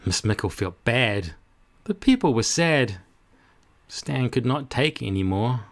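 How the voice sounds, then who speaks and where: A male storyteller with a medium-pitched voice reads for children. His delivery is slow and very animated, shaping the rhymes with dramatic rhythm. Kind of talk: expressive scripted children's read-aloud.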